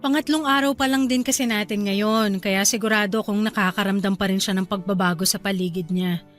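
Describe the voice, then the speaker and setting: A woman's voice: medium pitch, steady tone, brisk, faint music behind, natural voice. A woman playing an affectionate, chatty young wife and new mother in a Filipino radio drama. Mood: gentle, relieved.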